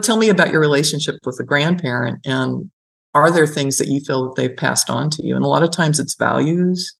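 Low-creaky middle-aged woman explaining reflectively. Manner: reflective, explanatory, checks in with "right?" and "you know". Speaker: gerontology professor being interviewed. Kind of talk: conversational interview, personal storytelling.

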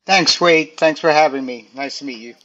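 A man's voice: on the high side, normal speed, a bit bright, highs cut off. A man answering interview questions about his career, hesitant, with many fillers and restarts. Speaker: guest, design director.

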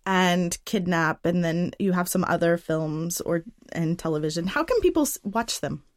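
Woman, interviewer, lowish voice, friendly questions, dry recording. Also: expressive, normal speed, no echo. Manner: friendly, slightly tongue-tied.

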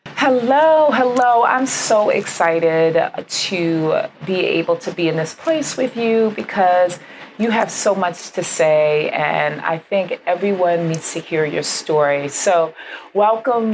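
An enthusiastic woman hosting a podcast, her voice sitting a little low. She is effusive and quick to affirm, and she often circles back to repeat her own phrases while asking questions. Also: darkish tone, a little hiss or hum, poor audio. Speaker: podcast host. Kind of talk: warm-up intro and interview questions.

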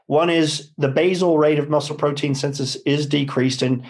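Higher-pitched man explaining science. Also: fairly even intonation, moderate pace, darkish tone. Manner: rambling, self-correcting, explanatory. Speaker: nutrition scientist. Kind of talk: conversational expert discussion.

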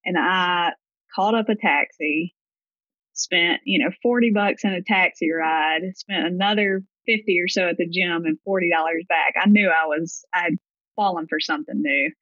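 Mississippi woman with a Deep South drawl. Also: moderate pace, fairly bright tone, no echo, highs cut off. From Gulfport, Mississippi, US. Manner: conversational, tells her story in loose run-on phrases. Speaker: podcast guest, gym owner. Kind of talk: casual interview answers.

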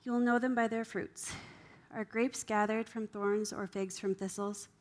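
A woman's voice: mid-range voice, steady tone, moderate pace, darkish tone. Female volunteer reader, medium register, reading Bible verses aloud.

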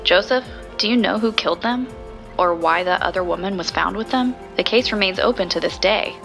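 Woman acting a thoughtful podcast investigator, bright, creaky voice. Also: mid-range voice, brisk, soft background music. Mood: inquisitive. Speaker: podcast host narrator. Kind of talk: scripted audio drama narration.

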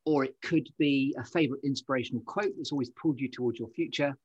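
Husky male podcast host, close and dry. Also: medium pitch, fast, no room sound, lo-fi sound. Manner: effusive, wordy, complimentary. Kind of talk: conversational podcast interviewing.

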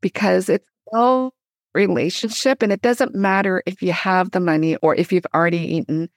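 Middle-aged woman from Korea, gravelly fry, enthusiastic podcast guest, close dry sound. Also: lowish voice, moderate pace, no echo. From born in Korea, immigrated in 1975. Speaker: podcast guest, theologian and teacher. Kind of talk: conversational interview.

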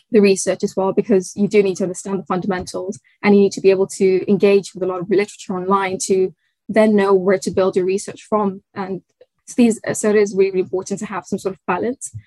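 A woman's voice: medium pitch, flat delivery, a little fast, dark tone, no room sound, poor audio. Young woman, research fellow guest, reflective and hesitant, dry close recording. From Tanzania. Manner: thoughtful, hesitant with fillers and restarts. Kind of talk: conversational interview.

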